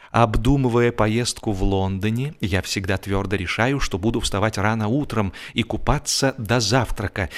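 A man narrating a humorous literary story in an expressive, theatrical way, with dramatic pauses and emphasis. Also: medium pitch. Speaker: audiobook narrator.

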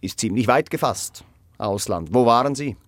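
An actor playing a stern official in a radio drama, questioning formally, curtly and insistently. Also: male voice, medium pitch, lively intonation, normal speed, no echo, natural voice. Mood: probing, curt. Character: stern, probing official interrogating a job applicant.

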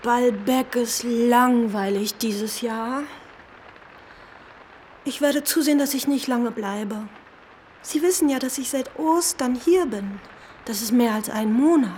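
A woman's voice: fairly high pitch, takes it slowly, darkish tone. Sulky young woman, noisy ambience. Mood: sulky, bored. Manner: sulky, complaining. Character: moody, bored young woman on seaside holiday. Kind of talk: literary radio drama acting.

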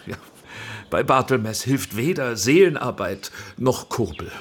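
An actor playing a courtly, flattering film director in a radio drama, speaking theatrically with dramatic pauses. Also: male voice, medium pitch, husky. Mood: charming, flattering. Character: Austrian male film director, courtly, flattering, persuasive visionary.

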